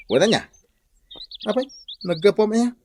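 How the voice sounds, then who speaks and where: An actor with an Ilocano accent playing a boisterous, teasing village drinker in a radio comedy drama. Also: male voice, sits high, big pitch swings, slow, exaggerated voice. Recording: noisy radio drama track.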